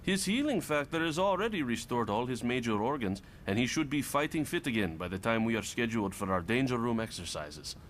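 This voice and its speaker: A good-natured young Russian hero, played by a man, with a mid-range voice that rises and falls a great deal. His wording is formal and friendly, as in an animated comic. Faint rumbling noise sits behind him. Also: fairly quick, hardly any pauses, natural voice. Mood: easygoing. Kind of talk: motion comic voice acting.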